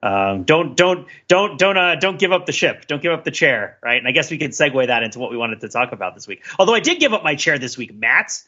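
A man co-hosting a podcast, chatting casually and with opinions, in an animated, rambling and emphatic way full of asides. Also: fairly high pitch, a little fast, hardly any pauses, lo-fi sound. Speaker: podcast co-host. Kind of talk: casual opinionated panel chat.

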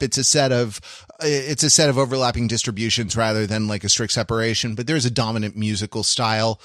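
A man's voice: mid-range voice, a bit bright, dry recording, clean studio sound. A man co-hosting a casual podcast, explaining things in a rambling, analytical way. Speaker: podcast co-host.

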